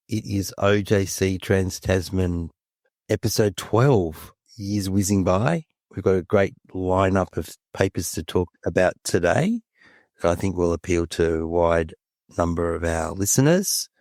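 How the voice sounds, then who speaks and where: A man's voice: sits low, unhurried, darkish tone, no room sound, clean studio sound. A man co-hosting a podcast, snuffly with a head cold, jovial and self-mocking. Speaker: podcast co-host. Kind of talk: casual chat.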